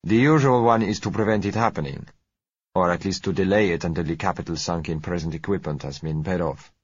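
A man voicing a suave, polite foreign oil dealer, speaking gently and courteously in an audiobook. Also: deep voice, a little fast, husky, no echo. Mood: smooth, measured. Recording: dull lo-fi audio.

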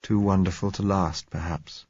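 A man voicing a gentle young man who speaks softly and soothingly, in an audiobook reading. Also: sits low, moderate pace, creaky, breathy edge, no echo, lo-fi sound, heavily acted. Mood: tender. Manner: soft, soothing. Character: tender young man, gentle and quietly resolved.

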